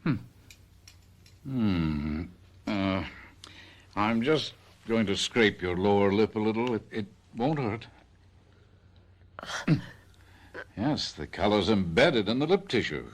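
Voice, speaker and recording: An actor playing a courteous, puzzled doctor in a radio mystery drama, speaking formally with careful hesitations. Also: male voice, on the low side, lively intonation, slow, long pauses. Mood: courteous, examining.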